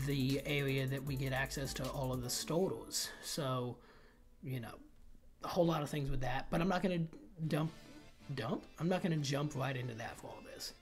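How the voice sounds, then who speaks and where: The man you'd hear on a gaming channel's theory video, rambling casually through his guesses with a slight speech impediment while background tracks play along. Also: medium pitch. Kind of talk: casual video commentary, speculating. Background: background music.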